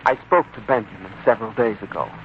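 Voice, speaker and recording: An actor playing an earnest, anxious young bridegroom and humble employee, deferential and hesitant, in a 1940s radio drama. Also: male voice, lowish voice, fast, lightly acted. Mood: pleading, distressed. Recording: muffled, faintly noisy 1940s radio broadcast.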